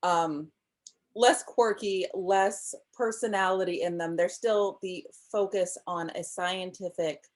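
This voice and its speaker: A woman in her thirties talks about her craft on a video call from a room at home, her voice sitting a little low. She explains things thoughtfully and with enthusiasm, pausing on ums as she works out her points. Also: fairly even intonation. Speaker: novelist guest. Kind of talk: casual interview chat.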